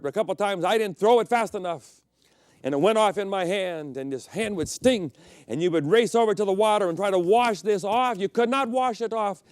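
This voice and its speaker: A middle-aged man preaching a sermon as pastor, telling personal stories and swinging between loud emphatic peaks and quiet, low passages. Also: no echo. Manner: storytelling preacher, personal anecdotes.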